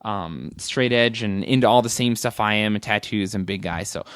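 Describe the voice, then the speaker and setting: A man's voice: fairly low pitch, normal speed, a bit bright, clear, resonant tone, no echo, clean studio sound. A man in his late twenties, a guest comedian telling his story in a candid, rambling, self-mocking way during a casual interview. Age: 20s.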